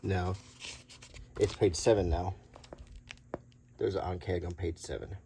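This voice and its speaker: A middle-aged man doing a casual, unscripted show-and-tell as a video host, talking in a halting, rambling, conversational way. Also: low-pitched, fairly even intonation, creaky, background noise. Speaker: YouTube host showing vintage tabletop game monster cards.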